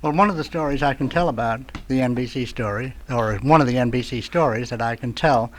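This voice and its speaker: An older man, a radio veteran, tells anecdotes as a talk show guest in a halting, rambling way, groping for words. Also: medium pitch, a little hiss or hum. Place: radio studio.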